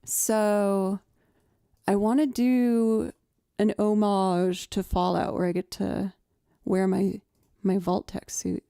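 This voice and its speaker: A young woman with a naturally low voice, chatting casually with her livestream audience and thinking aloud as she goes. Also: little pitch movement, takes it slowly, vocal fry, dark tone, smooth, no room sound, studio quality. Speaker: streamer and musician.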